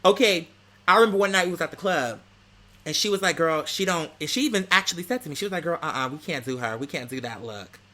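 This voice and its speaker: A man's voice: a little high, expressive, fast talker, bright, forceful tone. A man chatting casually to supporters like a podcast host, in a sassy, slangy, emphatic storytelling way. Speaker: online creator and podcast host. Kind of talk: casual gossipy vlog chat to supporters.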